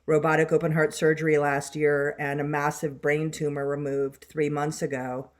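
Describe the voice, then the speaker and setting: An older woman, an actress and workshop leader, speaking in a measured, heartfelt way on a video call. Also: deep voice. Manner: measured, heartfelt, even-toned.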